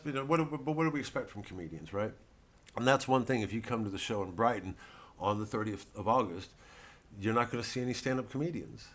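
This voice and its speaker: A man speaks as a satirical comedian in a loose, rambling rant. His delivery is sardonic, theatrical and profane, and he often breaks off into digressions. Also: lowish voice.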